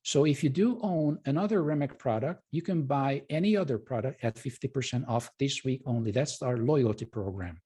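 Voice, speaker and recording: A man from Hungary, presenting an informal live webinar in a courteous, halting, self-correcting way. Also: medium pitch, dark tone, husky. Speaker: presenter and trading educator.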